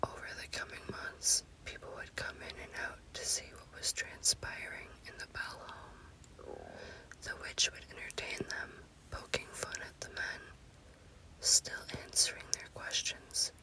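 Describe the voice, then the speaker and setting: A woman narrates a scary story as ASMR in an unvoiced, breathy whisper, in deliberate short phrases. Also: rough recording. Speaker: storyteller, host.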